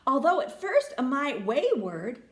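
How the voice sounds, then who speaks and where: A woman narrating a children's storybook in an expressive, theatrical way. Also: high voice, measured pace, echoey room. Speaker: storybook reader.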